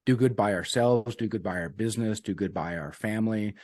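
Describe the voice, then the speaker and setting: A man with a lowish voice talks earnestly in a casual interview as a coach. He drops "man" and "you know" into his sentences and repeats his key sayings for emphasis. The sound is dry and close, with no room in it. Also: fairly quick, a little mellow, no echo. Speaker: business and life coach guest.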